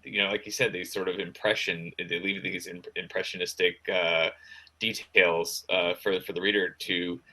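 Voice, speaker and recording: A man speaking as a guest author in casual talk about writing, thinking aloud in halting, broken phrases. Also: low-pitched, little pitch movement, pushed and bright, low-quality recording. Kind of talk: casual discussion of writing craft.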